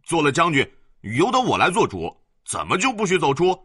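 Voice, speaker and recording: A male storyteller voicing a rough, hot-tempered young boy who is brash and yells. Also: medium pitch, wildly swooping pitch, fairly quick, no echo, studio quality, full character voice. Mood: enraged. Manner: brash, yelling.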